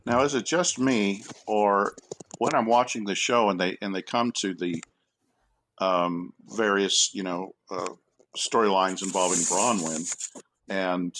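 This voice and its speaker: A man's voice: low-pitched, fairly even intonation, unhurried, a bit bright, a little hiss or hum, poor audio. A man chatting as a guest in a casual panel discussion, hesitant as he thinks his points through aloud. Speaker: podcast guest, academic.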